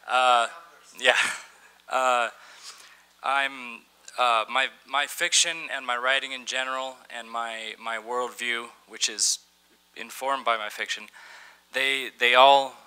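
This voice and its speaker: A young man giving a conference talk from a podium in a large dim hall, halting with many fillers and restarts, and dropping in wry asides. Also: mid-range voice, steady tone, pushed and bright, clear, resonant tone. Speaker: conference speaker. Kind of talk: conference talk with some reading aloud. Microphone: podium microphone.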